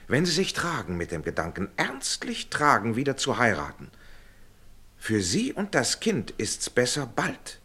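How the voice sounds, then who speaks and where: An actor in a German radio play voicing a well-meaning, paternal middle-aged gentleman, husky and emphatic, with educated, persuasive phrasing. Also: medium pitch, wildly swooping pitch, measured pace, full character voice. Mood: earnest, advising. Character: well-meaning gentleman friend, paternal, urging, somewhat worn.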